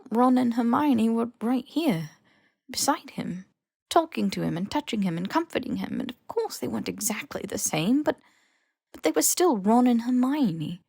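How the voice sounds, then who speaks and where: A woman reading fan fiction as expressive scripted narration, with emotive, dramatic phrasing. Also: fairly high pitch, gravelly, slightly rough, no room sound, clean studio sound. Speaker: podfic reader.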